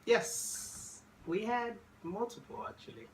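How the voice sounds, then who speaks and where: A man's voice: high voice, slow pace, roomy sound, slight background noise, poor audio. Laid-back teasing young man. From Haiti. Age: 20s. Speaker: guest, college student. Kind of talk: casual chat.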